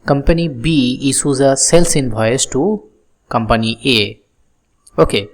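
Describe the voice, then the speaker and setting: An instructor explaining a business process step by step in a tutorial style, with halting phrasing and simple examples. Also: medium pitch.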